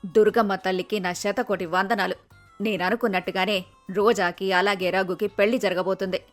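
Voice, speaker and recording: A woman playing a feisty, excitable middle-aged mother-in-law in a story. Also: medium pitch, moderate pace, faint music behind, natural voice. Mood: overjoyed.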